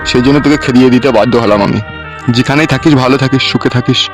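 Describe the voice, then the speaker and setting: A man voicing a crude, henpecked village uncle in a comedy cartoon, gruff and blunt, with a rural West Bengal accent. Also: mid-range voice, fast talker, music in the background, natural voice. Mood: resigned.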